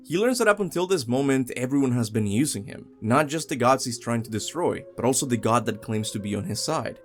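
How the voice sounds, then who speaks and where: A man hosting a video essay, narrating a countdown in a casual, opinionated and emphatic way. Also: medium pitch. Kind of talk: countdown commentary, scripted but casual narration.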